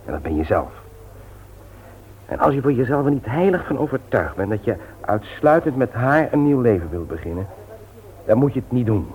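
An actor in a radio play, playing an earnest family man who speaks frankly and in a measured way. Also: mid-range voice, very animated, lightly acted. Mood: earnest, admonishing. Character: Willem, earnest frank friend, husband and doting father. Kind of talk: Dutch radio play acting. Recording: tinny, noisy old radio play recording.

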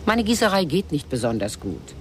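A woman's voice: low-pitched, sing-song, a little fast. A worldly middle-aged woman in a radio crime drama. She talks in a sociable, probing way with a shrewd, sardonic edge. There is faint noise in the recording. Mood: probing, worried.

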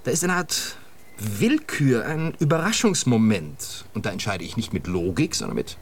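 A man's voice: on the low side, sing-song, normal speed, slight background noise. An actor in a naturalistic radio drama playing a distracted, flirtatious man who halts and searches for words. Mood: preoccupied, hesitant. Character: distracted, flirtatious man, brother and obsessive contest winner.

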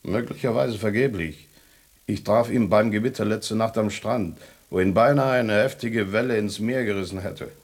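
A man's voice: lowish voice, moderate pace, breathy edge. A man playing a scornful, proud lone tomcat in a radio drama, speaking in a haughty, sardonic, mock-formal way. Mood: accusing.

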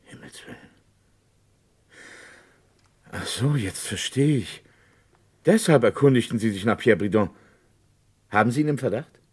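An actor playing a village lawyer in a German radio crime drama, speaking in a formal, deliberate, hesitating and discreetly insinuating way. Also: male voice, fairly low pitch, expressive, a little mellow, slightly rough. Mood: shocked, probing.

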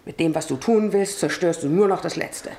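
A middle-aged wife in a radio play acted by a woman, with a fairly low voice. She is practical and long-suffering, and she presses her reproaches with pleading insistence. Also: normal speed, mellow, a little hiss or hum, natural voice. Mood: bitter, defiant. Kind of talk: German radio drama acting.

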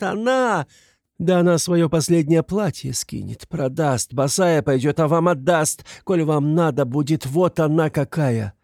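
A man voicing a hysterical, sickly, impoverished widow in an audiobook, shrill and frantic, fiercely protective and indignant. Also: dry recording, clean studio sound. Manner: frantic repetitions, accusing, pleading. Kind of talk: dramatized literary audiobook.

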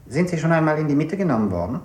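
An actor playing a brisk, mocking interrogator who badgers a prisoner in a 1960s radio play. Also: male voice, fairly high pitch, very animated, a little mellow. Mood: badgering. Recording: very echoey room, slightly distant.

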